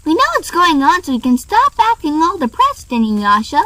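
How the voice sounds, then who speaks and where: A very high-pitched, impish fox demon child, played by a woman. He is cheeky and whiny, a small anime boy. The recording is dry. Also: sing-song, moderate pace, sharp tone, no echo, exaggerated voice, poor audio. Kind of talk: anime fan audio drama.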